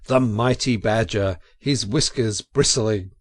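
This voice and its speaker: A man narrating an audiobook story in a measured, expressive and dramatic storytelling style. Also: on the low side, no echo, clean studio sound.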